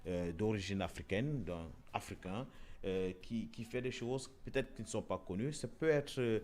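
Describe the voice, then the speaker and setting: A man's voice: lowish voice, normal speed, a little mellow, a little hiss or hum. A man hosting a casual talk show, speaking hesitantly with many repeated words and fillers. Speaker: show host. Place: Europe.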